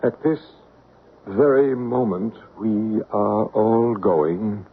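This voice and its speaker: An actor playing a calm man who leads a seance and narrates the story, in a 1970s radio drama. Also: on the low side, husky, full character voice. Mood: solemn. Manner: solemn, measured, hushed. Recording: tinny 1970s radio broadcast with faint music.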